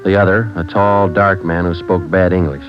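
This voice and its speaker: An actor playing a terse police detective who narrates the case in a clipped, deadpan way in a 1940s radio drama. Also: male voice, deep voice, normal speed, over music, lightly acted. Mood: flat. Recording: dull old radio broadcast.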